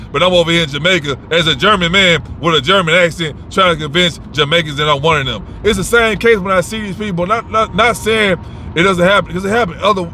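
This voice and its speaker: Blunt, profane man with a bright voice. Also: sits high, a little hiss or hum. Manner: blunt, rambling, profane, with many restarts. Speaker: host giving advice. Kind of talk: casual unscripted monologue.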